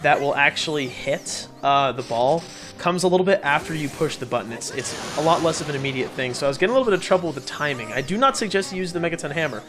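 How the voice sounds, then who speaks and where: A man's voice: fairly high pitch, lively intonation, fast. A man hosting a let's play with casual, rambling, jokey and self-deprecating commentary over game music. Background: video game music.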